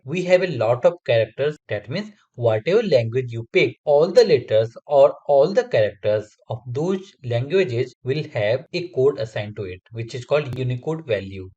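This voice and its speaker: Man, Hindi speaker, methodical tutorial voice-over, husky, slightly muffled audio. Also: medium pitch, mellow. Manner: explains step by step, methodical. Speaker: software testing instructor. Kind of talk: screen-recorded coding tutorial.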